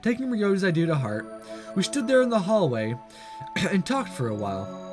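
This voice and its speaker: A man with a fairly high, very animated voice hosts a visual novel playthrough. He moves between casual commentary and reading narration, with music playing behind him. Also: normal speed. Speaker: let's play host.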